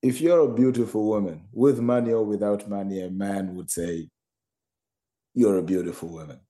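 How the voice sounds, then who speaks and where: A man co-hosting a podcast in casual chat, halting and repeating words before he makes his point. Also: lowish voice, mellow. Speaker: podcast co-host.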